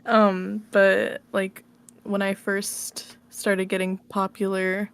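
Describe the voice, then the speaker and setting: A woman's voice: mid-range voice, completely flat delivery, takes it slowly, smooth. A woman chatting casually as an interview guest, informal and tentative, with a self-effacing way of talking. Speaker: interview guest, audio roleplay creator. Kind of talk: casual chat.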